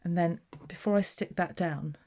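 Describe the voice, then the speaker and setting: A woman's voice: on the low side, normal speed, warm tone. A woman from England, chatting casually while she demonstrates card making and narrates her own steps. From southeast England. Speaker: papercraft demonstrator. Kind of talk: casual craft tutorial chat.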